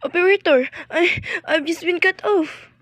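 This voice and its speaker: A woman's voice: extremely high pitch, normal speed, a bit bright, no echo. A woman playing a nervous, imperious married woman in a radio play, speaking in a fretful, emphatic way. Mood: horrified, urgent. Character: nervous, demanding, sickly married woman alone at home.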